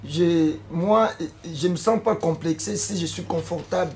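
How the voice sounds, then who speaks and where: A man's voice: on the high side, a little slow, gravelly, a little mellow. A young man from the Congo with a Congolese French accent, a musician answering interview questions in a casual, hesitant way, slipping between French and Lingala. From Democratic Republic of the Congo. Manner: hesitant, with frequent fillers and repeated words. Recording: light background noise.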